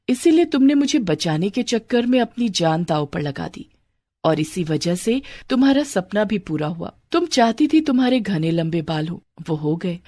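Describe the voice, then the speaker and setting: A woman's voice: medium pitch, a little mellow, no room sound. Woman doing a gracious pond goddess. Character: kindly pond goddess, young female spirit.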